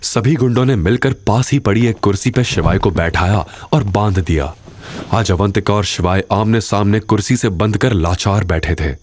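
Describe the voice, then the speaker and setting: A man's voice: on the low side, normal speed, slight background noise. A man giving dramatic scripted narration as the storyteller of an audio drama.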